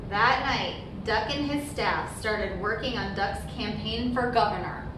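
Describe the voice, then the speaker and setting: A woman reading a children's picture book aloud as a storyteller, with deliberate, emphatic phrasing and brief asides. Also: medium pitch. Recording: very echoey room, distant mic, noisy background.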